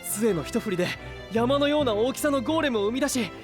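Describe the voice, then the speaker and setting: A man's voice: very high voice, expressive, fast. A man playing an earnest, idealistic young knight in an anime-style voice drama, speaking with sincere feeling. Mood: eager admiration. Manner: sincere, swinging from subdued to eager. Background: prominent background music.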